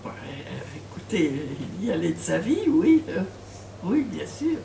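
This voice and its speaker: An older woman recalls her past with halting, filler-laden speech and bursts of laughter. She is picked up from a distance in a reverberant bookshop. A faint hum of noise sits under her voice. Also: lowish voice, very animated, vocal fry, mellow. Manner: hesitant, many fillers, laughs when telling stories. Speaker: author and guest. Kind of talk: bookshop talk with audience.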